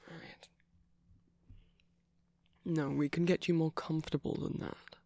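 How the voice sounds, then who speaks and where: A man playing a soft, caring vampire boyfriend in an intimate ASMR roleplay, speaking gently with pauses. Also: a little high, flat delivery. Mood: soothing, coaxing. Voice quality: soft, breathy.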